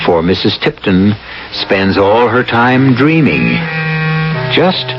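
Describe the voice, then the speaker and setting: A man with a low voice narrates as host of a radio mystery play. His delivery is ornate and theatrical, with wide swings of pitch over music, on a muffled old broadcast. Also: very animated. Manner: grandiloquent, deliberate dramatic phrasing.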